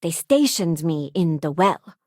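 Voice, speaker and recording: A woman's voice: sits low, very animated, a little slow, darkish tone, no room sound, studio quality, exaggerated voice. An actress playing a principled, idealistic female crew companion in a space video game, speaking sincerely and conversationally. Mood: earnest, disillusioned. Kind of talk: acted game companion dialogue lines.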